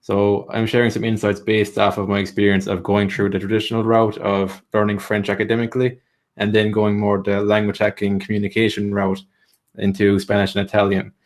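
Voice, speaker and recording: A man's voice: lowish voice, monotone, brisk. A man giving language-learning tips in a live training session, explaining steadily with frequent "so" and "like".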